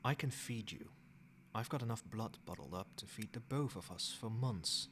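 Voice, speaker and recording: Man acting as low-voiced, possessive vampire sire. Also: lively intonation, fairly bright tone, slight background noise. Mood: stern, insistent. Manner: measured, formal, commanding. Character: possessive, controlling old male vampire sire, soft-spoken and stern. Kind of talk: soft-spoken ASMR roleplay.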